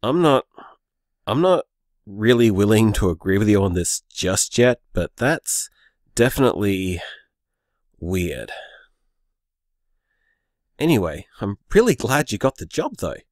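A man's voice: lowish voice, big pitch swings, unhurried, pauses often, darkish tone, no room sound, clean studio sound. A man playing an easygoing, sceptical young boyfriend in a naturalistic audio drama, conversational and hesitant. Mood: hesitant, warm. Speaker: podcast drama actor.

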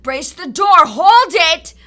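A woman's voice: extremely high pitch, lively intonation, slow pace, exaggerated voice. An actress playing a traumatized young woman with the mind and simple speech of a child, in a radio drama. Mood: panicked. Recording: audible background noise.

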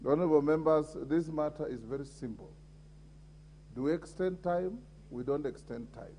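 Kenyan man, parliamentary speaker, firm and commanding, a bit high-pitched, desk mic in a big chamber. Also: moderate pace, mellow, a little hiss or hum. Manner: firm, calling order repeatedly. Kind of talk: chairing a parliamentary debate. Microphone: desk microphone.

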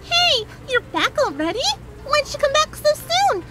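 Squeaky, bubbly cartoon pony. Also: female voice, measured pace, very noisy, heavily acted. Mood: cheerful, oblivious. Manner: excitable, chirpy. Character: bubbly, oblivious young female cartoon pony.

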